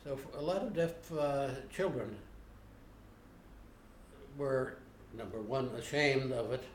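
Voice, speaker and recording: An older man being interviewed, recalling his past in a plainspoken, unhurried way with frequent pauses. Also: mid-range voice, lively intonation, slight background noise. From United States. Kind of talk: unscripted recollection. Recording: roomy home video recording.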